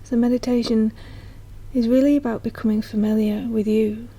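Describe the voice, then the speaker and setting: Soft, breathy woman guiding a meditation. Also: on the high side, fairly even intonation. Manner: soft, slow, soothing delivery. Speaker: meditation guide. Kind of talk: guided meditation narration.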